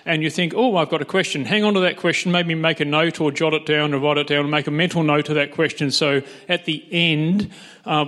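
Rambling moderator with a higher voice. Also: male voice, a bit bright. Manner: rambling, restarting sentences. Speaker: panel moderator. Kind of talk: hosting a church panel.

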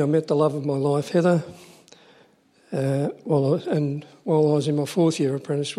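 A man in his seventies with a mellow, somewhat high voice speaks on a church panel. He talks in a measured, reflective manner. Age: 70s. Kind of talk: personal introduction at a church panel.

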